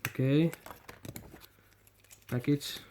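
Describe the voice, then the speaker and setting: A Finnish man with a Finnish accent, whose first language is Finnish, hosting a casual toy unboxing in halting English and often repeating words as he searches for them. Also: a little high, fairly even intonation, a little mellow, slight background noise. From Finland.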